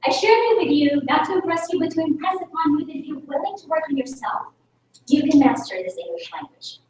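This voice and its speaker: A woman from the Philippines, a native Tagalog speaker, giving an energetic talk to a meeting and engaging the audience with rhetorical questions. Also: high voice, fairly quick. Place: meeting room. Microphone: distant room mic. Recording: echoey room recorded from a distance.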